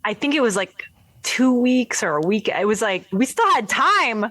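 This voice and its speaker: A young woman from the Philippines, a filmmaker guest chatting in an interview, lively and laughing, with many fillers and restarts. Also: on the high side, moderate pace. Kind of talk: casual interview chat.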